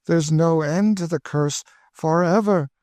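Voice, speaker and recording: A man's voice: on the high side, unhurried, a little mellow, no room sound, pitched above the performer's own voice, natural voice. A man voicing a weary, despairing woman who speaks with dry bitterness. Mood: bitter.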